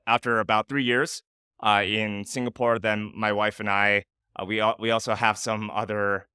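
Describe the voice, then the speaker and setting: A man's voice: fairly low pitch, flat delivery, normal speed, bright, forceful tone, clean tone, no room sound, studio quality. A man, a guest answering interview questions thoughtfully, with frequent "uh" fillers. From Michigan, United States. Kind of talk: casual interview conversation.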